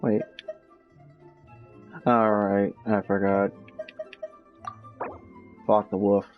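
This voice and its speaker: Casual male streamer: low pitch, sarcastic asides and muttered thinking aloud while playing. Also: completely flat delivery, very slow, narrow, tinny audio. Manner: offhand, muttering to self, sarcastic. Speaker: game streamer. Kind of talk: casual live game commentary. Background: faint game music.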